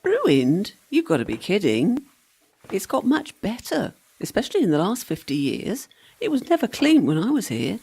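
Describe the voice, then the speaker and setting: Woman playing a husky, sardonic ghostly servant girl. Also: medium pitch, wildly swooping pitch, normal speed, dark tone, exaggerated voice. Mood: mocking. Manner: sarcastic, teasing, breezy. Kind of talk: comic radio play acting.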